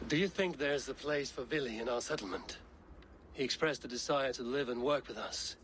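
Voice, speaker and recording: A man playing an earnest, deliberate Viking warrior leader in a dramatic video game. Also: mid-range voice, a bit bright, slight background noise, natural voice. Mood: measured, thoughtful.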